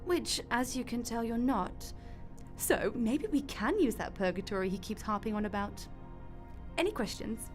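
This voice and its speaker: A woman's voice: a little high, lively intonation, normal speed, soft background music, natural voice. A woman acting in a radio drama as a breezy, glib God who runs the afterlife with chatty, flippant matter-of-factness. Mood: matter-of-fact explaining.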